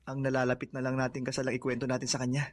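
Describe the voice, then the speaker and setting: A man acting a cheerful, loyal young groom-to-be in a radio drama, hearty and expressive. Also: mid-range voice, rapid-fire, close to an everyday voice. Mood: thoughtful.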